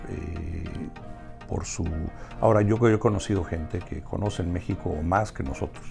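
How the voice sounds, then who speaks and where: A man with a Mexican accent answering in a conversational interview, haltingly repeating words as he searches for his phrasing. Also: deep voice, vocal fry, a little mellow. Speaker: interview guest, restaurateur and community organization member.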